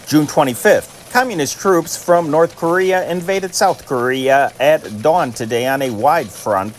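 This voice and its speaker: A man with a slightly high voice reads the news like an old-time radio announcer. His delivery is formal and declamatory, with very lively swings in pitch. There is some noise in the recording. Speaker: news reader, channel host. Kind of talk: scripted old-time radio newscast reading of historical dispatches.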